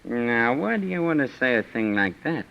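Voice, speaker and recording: An actor playing a taunting small-town deputy with folksy, mocking speech, in a vintage radio detective drama. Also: male voice, mid-range voice, sing-song, moderate pace, vocal fry. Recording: dull vintage radio recording.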